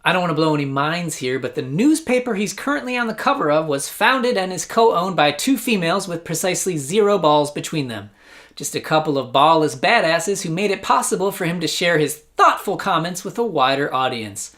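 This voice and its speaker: A man's voice: a little high, expressive, fairly quick, a bit bright. An American man hosting a satirical news show, delivering scripted comedic commentary in a sarcastic, mock-incredulous way.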